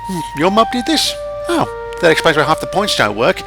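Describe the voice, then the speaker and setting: A man voicing a tired, sharp-tongued small male engine. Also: fairly high pitch, huge pitch swings, moderate pace, vocal fry, music in the background, pitched above the performer's own voice, heavily acted. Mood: weary. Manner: weary and sarcastic.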